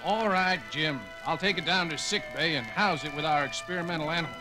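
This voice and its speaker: A man acts as a crabby ship's doctor in a vintage space adventure record drama. His high male voice is testy, wry and folksy, with music clearly playing behind it. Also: brisk, natural voice, poor audio. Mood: grumbling. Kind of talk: 1970s space adventure audio drama acting.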